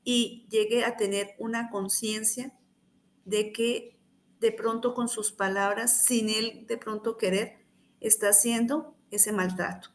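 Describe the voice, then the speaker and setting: A woman's voice: a little high, fairly even intonation, takes it slowly, slight background noise. A woman researcher speaking earnestly and explaining her points in a formal discussion.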